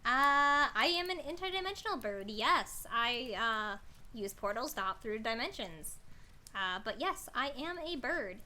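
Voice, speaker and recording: A woman hosting a casual livestream. Her voice is high and very bright, with lively swings in pitch. She comes across as bubbly, scattered and excitable. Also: unhurried. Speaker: streamer, host of a story-reading livestream.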